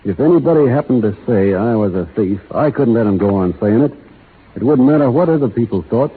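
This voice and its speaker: An actor playing a stoic, principled American cowboy foreman in a 1930s radio drama, soft-spoken, folksy and restrained. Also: mid-range voice, lively intonation, fairly quick, a little hiss or hum, close to an everyday voice. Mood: grave, resolute. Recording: muffled, narrow-band old radio recording.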